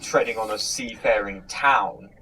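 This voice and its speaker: A man hosting a casual discussion, thinking aloud in a halting, tentative way. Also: on the low side, a loud crowd. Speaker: host reading audience questions. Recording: clear background noise.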